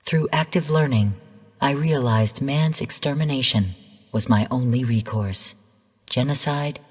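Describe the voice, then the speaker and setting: An actress playing a female artificial intelligence in a video game, speaking in a measured, formal, clinical manner. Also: rumbling low, husky, full character voice. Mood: cold, menacing. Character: calm female rogue artificial intelligence mentor turned genocidal overseer. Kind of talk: scripted video game voice lines. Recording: long hall-like reverb.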